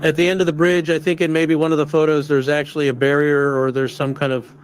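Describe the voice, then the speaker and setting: A synthetic male voice playing a defense attorney, questioning a witness in a measured, methodical way. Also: fairly high pitch, little pitch movement, a little hiss or hum, natural voice. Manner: measured, methodical cross-examination. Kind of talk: synthetic courtroom reenactment.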